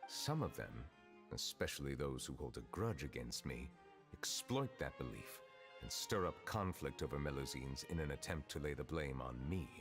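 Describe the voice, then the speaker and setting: A very deep, measured male voice playing an ancient dragon turned judge, formal and solemn in every word. Loud music runs under him in the game. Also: animated.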